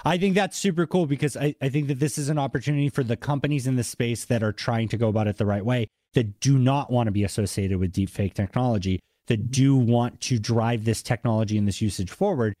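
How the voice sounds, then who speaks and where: Male podcast host, light Boston accent, mid-range pitch, enthusiastic and chatty, very dry recording. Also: a little fast, hardly any pauses, dark tone, dry recording. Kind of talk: casual interview chat.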